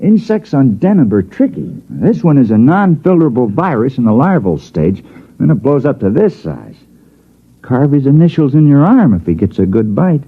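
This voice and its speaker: A man's voice: mid-range voice, big pitch swings, normal speed, breathy edge, phone-quality sound. A man playing a laconic, unfazed office official with an offhand, droll manner in a 1950s radio drama. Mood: droll, matter-of-fact. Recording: thin, band-limited old radio recording.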